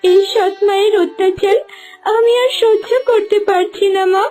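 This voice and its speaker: A young village girl voiced by a woman speaks in an extremely high, childlike voice. Her delivery is plaintive and melodramatic, as in a fairy tale cartoon, over soft music. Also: fairly even intonation, moderate pace. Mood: sorrowful. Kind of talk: cartoon dubbing.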